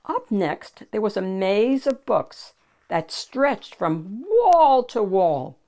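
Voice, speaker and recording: An older woman reads a children's picture book aloud in an animated, deliberate storyteller's manner. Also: mid-range voice. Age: older, 60s or 70s. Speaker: children's storybook reader and host.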